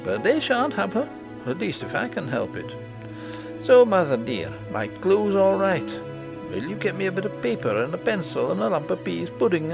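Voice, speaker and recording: A man's voice: fairly high pitch, creaky, pitched above the performer's own voice. A man voicing a determined young miner boy in a storybook, eager and confident.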